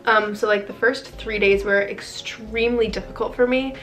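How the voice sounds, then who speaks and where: A woman's voice: mid-range voice, steady tone, normal speed, fairly bright tone, faint music behind. A young woman from New Jersey talking casually to camera as a vlogger, with lots of "um" and "like". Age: young adult. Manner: chatty, frequent "um" and "like". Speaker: vlogger, college freshman.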